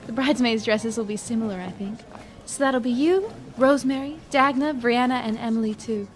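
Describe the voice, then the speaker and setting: A woman's voice: fairly high pitch, a little fast, a little hiss or hum, natural voice. A woman playing a sweet, happy dwarf in an audio drama, chatty, friendly and polite. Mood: excited planning.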